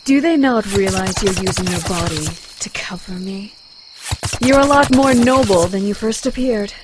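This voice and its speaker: An actress playing a proud Amazon warrior in a motion comic, haughty and deadpan with teasing wit. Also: female voice, mid-range voice, moderate pace, natural voice. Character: proud, dry-witted female Amazon warrior. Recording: noticeable background ambience and hiss.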